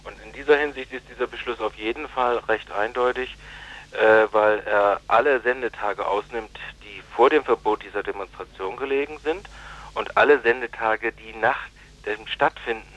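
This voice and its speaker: A man giving a spontaneous radio interview as a managing director, explaining things in halting, formal wording. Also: fairly low pitch, steady tone, fairly bright tone, poor audio.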